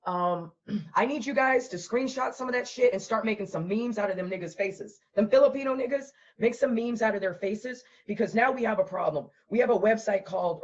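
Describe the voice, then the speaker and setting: A hoarse woman who has lost her voice, hosting a vlog and ranting bluntly with a lot of profanity. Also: mid-range voice, highs cut off. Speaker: host.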